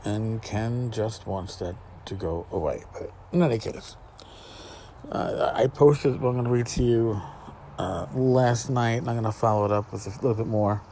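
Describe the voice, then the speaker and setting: A man's voice: on the low side, normal speed, mellow. Blunt man podcasting outdoors, noisy ambience. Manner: blunt, profane, wry commentary. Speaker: podcast host. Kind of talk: casual solo podcast chat. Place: outdoors on a back porch.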